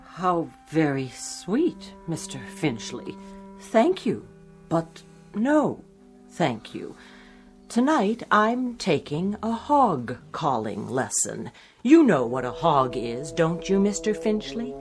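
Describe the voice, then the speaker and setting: A woman playing an exasperated, sharp-tongued secretary, sarcastic and articulate, in a 1960s television drama. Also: low voice, very animated, slow pace, faint music behind, full character voice. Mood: mocking. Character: exasperated, sharp-tongued female secretary fed up with her employer.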